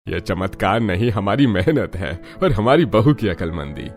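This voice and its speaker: A proud older father-in-law played by a man in a Hindi cartoon story. His voice is on the low side, with faint music behind it. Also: animated, moderate pace. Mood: encouraging.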